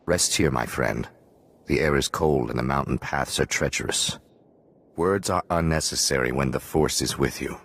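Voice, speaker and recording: Very deep-voiced, solemn hermit sage. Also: male voice, a bit bright, husky, full character voice. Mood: calm, grave. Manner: measured, formal, philosophical. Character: solemn male hermit Jedi watchman, calm, penitent, cryptic. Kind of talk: video game voice acting.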